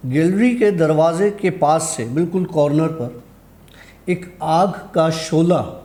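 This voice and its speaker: A man's voice: a little high, lively intonation, slow pace, mellow. A man telling a personal horror anecdote in a conversational way, acting out the dialogue as he goes. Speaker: guest storyteller.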